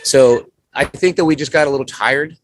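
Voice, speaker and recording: A man's voice: mid-range voice, moderate pace, no echo. A man in his forties, a guest musician chatting casually in an interview, rambling with lots of filler phrases. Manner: rambling, many "you know" fillers.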